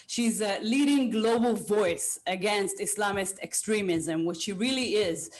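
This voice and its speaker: Halting female podcast host. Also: medium pitch. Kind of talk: conversational interview hosting.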